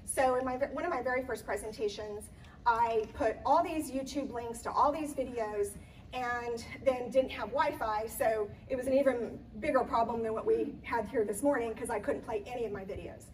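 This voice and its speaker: A slightly hoarse woman gives a chatty conference talk, pitched a little high. She fills pauses with ums and restarts. She sounds a bit distant from the mic, with faint room ambience behind her. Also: a little hiss or hum. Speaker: event planner giving a conference talk. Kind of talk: live presentation to an audience.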